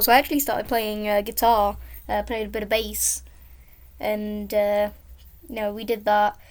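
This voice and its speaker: A woman with a high voice, rambling casually and drifting between topics while commenting on a game she is playing. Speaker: gaming YouTuber.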